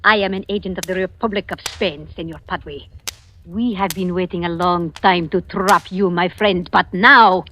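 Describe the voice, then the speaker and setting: An actress playing a cold, mocking Spanish Republican agent, deliberate and accusing, in 1940s radio drama style. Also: female voice, on the low side, steady tone, pushed and bright. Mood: cold triumph. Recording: noisy old 1940s radio recording.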